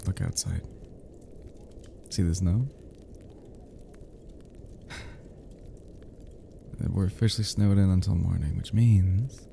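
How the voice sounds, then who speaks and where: A man playing an affectionate, flirty close friend in an intimate romantic roleplay, speaking in a soft, teasing, breathy murmur with hushed pauses. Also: sits low, slight background noise, full character voice. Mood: cozy, flirty.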